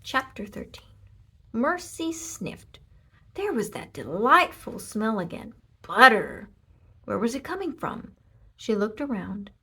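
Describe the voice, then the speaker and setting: A woman narrating a children's storybook in an expressive read-aloud style. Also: a little high, slow pace, breathy edge. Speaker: storybook reader.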